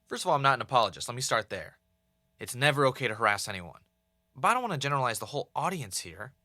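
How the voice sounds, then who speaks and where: A man acting as a wry, uneasy podcast host in an audio drama, with a casual, halting, conversational delivery. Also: mid-range voice, big pitch swings, sharp tone, dry recording, clean studio sound. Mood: chatty, teasing. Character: Ray, wry, uneasy male podcaster investigating his own death.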